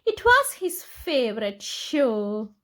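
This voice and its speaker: A woman reading picture books aloud to young children in an expressive, deliberate storytelling manner. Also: on the high side.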